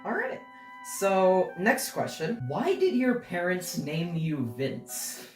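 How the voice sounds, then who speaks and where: Casual female interview host, mid pitch, echoey room with music underneath. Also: huge pitch swings, slow pace, a little mellow, distant mic. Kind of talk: casual on-camera interview chat. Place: indoor room, sharing the frame with guest. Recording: roomy, off-mic, music underneath. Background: guitar music.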